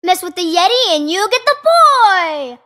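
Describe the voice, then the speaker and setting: An actor voicing an excitable, brave young boy adventurer in a video game, with playful, heroic exclamations. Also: very high-pitched, measured pace, exaggerated voice. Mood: excited, heroic.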